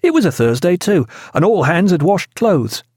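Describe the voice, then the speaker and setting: A man's voice: high voice, sing-song, moderate pace, darkish tone, breathy edge, dry recording, clean studio sound, pitched above the performer's own voice, heavily acted. A man voicing an earnest naval officer telling grim sea tales in an audiobook. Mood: grave, vivid.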